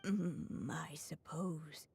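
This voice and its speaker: A woman playing a gentle, wistful, ailing fairy-tale writer in a video game, speaking softly and deliberately with a breathy voice. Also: deep voice, steady tone, slight background noise, exaggerated voice. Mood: wistful, reflective. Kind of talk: dramatic video game story acting.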